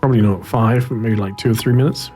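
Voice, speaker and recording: A man running a tabletop roleplaying game as game master, explaining and narrating in hesitant, halting phrases. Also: medium pitch, over music.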